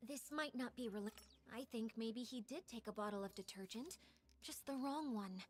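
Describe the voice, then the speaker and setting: A woman's voice: fairly high pitch, normal speed, faint music behind, close to an everyday voice. A woman voicing a polite, composed young female investigator with an analytical manner in a video game. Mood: considering.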